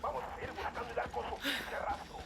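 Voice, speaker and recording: A man's voice: very high voice, little pitch movement, very fast, gravelly, heavily acted. A man voicing an aggressive, foul-mouthed Mexican cartel gunman in a video game, coarse and urgent. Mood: agitated. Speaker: enemy cartel gunmen. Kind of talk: video game combat barks. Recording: distant game voice under loud vehicle noise.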